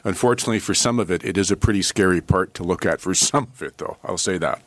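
Fast-talking deep-voiced man on talk radio. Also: dry recording. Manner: earnest, emphatic, quick-talking. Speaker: studio guest commentator. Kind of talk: radio talk show discussion. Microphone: studio microphone.

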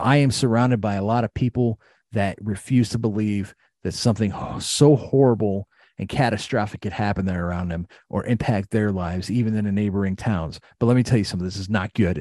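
A man's voice: on the low side, brisk, mellow, no echo, clean studio sound. A middle-aged American man giving an impassioned personal account, blunt and emphatic, repeating phrases and swearing. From Ohio, United States.

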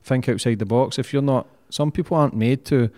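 A man's voice: mid-range voice, a little fast, mellow. A man hosting a podcast, chatting casually with a blunt, encouraging manner and free swearing. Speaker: podcast host. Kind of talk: casual interview chat.

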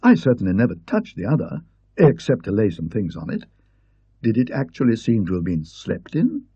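A man's voice: lowish voice, huge pitch swings, moderate pace, warm tone, breathy edge, no room sound, exaggerated voice. A man voicing a prim, fussy middle-aged professor who speaks precisely, with slight hesitancy. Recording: dull lo-fi recording.

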